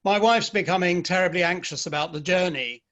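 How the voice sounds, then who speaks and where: A man's voice: high voice, brisk, a bit bright, dull audio. A man in an online play reading, playing a modest, wry middle-aged Victorian novelist at his club. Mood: conversational, musing.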